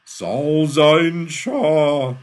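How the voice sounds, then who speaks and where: A man voicing a powerful female sorceress heard from thin air in a storybook, menacing and mocking, slow, loud and imperious. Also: medium pitch, wildly swooping pitch, husky, voice pushed up, heavily acted. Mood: thundering.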